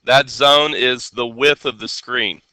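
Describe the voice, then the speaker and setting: A male teacher with a very bright, forceful voice, nasal from a head cold yet crisply clear. He explains coding to a class in an instructional way and keeps checking that students follow. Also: mid-range voice, steady tone, slow, no room sound, rough recording. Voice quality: nasal, congested. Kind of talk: live classroom coding lesson.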